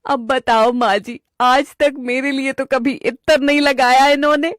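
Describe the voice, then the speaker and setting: A woman acts out a young cartoon wife, suspicious and full of complaint. Her voice is high and whiny, with dramatic pleading. The sound is close and dry. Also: fairly even intonation, a little slow, no echo. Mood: aggrieved. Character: young suspicious, plaintive wife and daughter-in-law.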